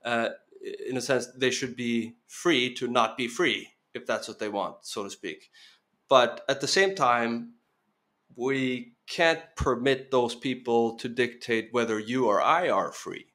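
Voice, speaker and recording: A man's voice: medium pitch, measured pace, a bit bright. A man in his thirties, a podcast guest, speaking earnestly in casual interview talk with frequent restarts and a slight Venezuelan Spanish tinge.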